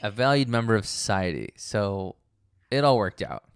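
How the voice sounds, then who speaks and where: A man's voice: on the low side, expressive, normal speed, smooth, dry recording, clean studio sound. A man co-hosting a podcast, chatting casually in a laid-back way and calling his friend dude. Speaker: podcast co-host. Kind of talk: casual chat.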